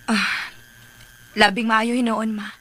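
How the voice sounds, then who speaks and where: A woman playing a wounded but resolute young mother in a radio drama, with a firm, measured delivery. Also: on the high side, level tone, a bit bright, slight background noise. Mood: wary.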